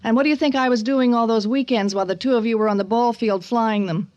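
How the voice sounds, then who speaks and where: A woman's voice: a little high, fast, a little mellow, no echo, dull audio, natural voice. A woman acting an anxious, guilt-ridden middle-aged widowed mother in a radio drama, pleading hesitantly and emotionally. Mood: remorseful, defensive.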